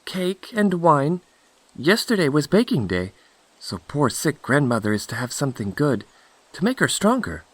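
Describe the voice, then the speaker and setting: An innocent, wide-eyed little girl from a storybook, played by a man, pitched well up with very lively swoops. She is polite and earnest, in a dry, close recording. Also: normal speed, no room sound. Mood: polite, chirpy. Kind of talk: storybook character voice.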